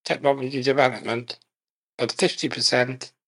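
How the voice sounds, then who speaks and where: A man speaking as a guest in an interview, measured and unhurried, speaking in short phrases with pauses. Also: mid-range voice, little pitch movement, a bit bright, breathy edge. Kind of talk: conversational interview.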